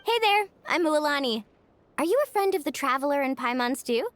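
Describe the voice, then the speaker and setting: A woman playing an upbeat, easygoing young woman, chatty and friendly, in an animated video game. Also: sits high, brisk, slight background noise. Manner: bubbly, casual, chatty. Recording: music under the voice.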